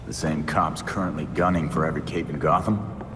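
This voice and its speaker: An actor playing a tough, skeptical vigilante in a video game, with a blunt, wry delivery. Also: male voice, rumbling low, fairly even intonation, fairly quick, long reverb tail, background noise. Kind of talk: dramatic video game cutscene acting.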